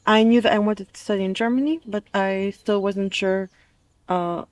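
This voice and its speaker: 20s woman student being interviewed, chatty answers, normal pitch, dry sound. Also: fairly even intonation, moderate pace, no echo. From American who grew up in Dubai. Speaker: interview guest, master's student. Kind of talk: casual conversational interview answers.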